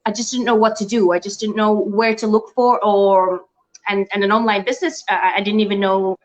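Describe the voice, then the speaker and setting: A woman's voice: medium pitch, steady tone, fairly quick, rough recording. A middle-aged woman from the Netherlands, a guest in an online interview, talking casually with many fillers and restarts. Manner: hesitant, many restarts and fillers, laughs often.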